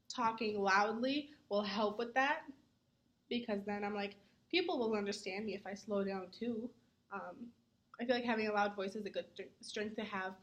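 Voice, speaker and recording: Earnest young woman answering interview questions. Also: medium pitch. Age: young adult. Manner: earnest, many "um" fillers; says she talks fast when nervous. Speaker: student interviewee.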